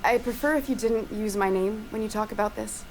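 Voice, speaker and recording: A woman playing an earnest, guarded research scientist in a video game, speaking in a formal, measured and articulate way. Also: medium pitch, a little hiss or hum, lightly acted, poor audio. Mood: guarded, worried. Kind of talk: video game dialogue acting.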